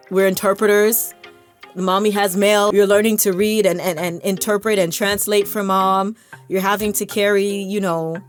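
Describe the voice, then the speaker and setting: A young American woman vlogger talking to camera in a casual, encouraging way, often repeating words as she restarts. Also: medium pitch. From United States. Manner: conversational, stumbles and repeats words, laughs now and then. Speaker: language vlogger.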